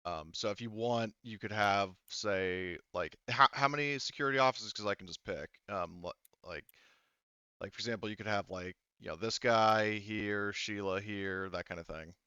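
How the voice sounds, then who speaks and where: A man's voice: on the low side, moderate pace, sharp tone, clear, resonant tone, dry recording, lo-fi sound. A man casually moderating a tabletop game as game master, hesitant and thinking aloud as he goes.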